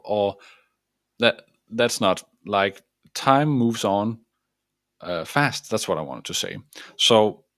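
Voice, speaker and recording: A man's voice: on the low side, dry recording, studio quality. A man from Denmark with a Danish accent, a coach giving an earnest self-help talk to camera, often restarting and searching for words while he explains and sketches a diagram. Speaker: transformative coach.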